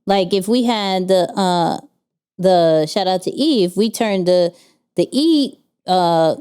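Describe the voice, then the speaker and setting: Mellow, smooth-voiced woman asking rhetorical questions. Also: lowish voice, slow. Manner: energetic, rhetorical questions, frequent restarts. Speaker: podcast co-host. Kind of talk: casual business chat.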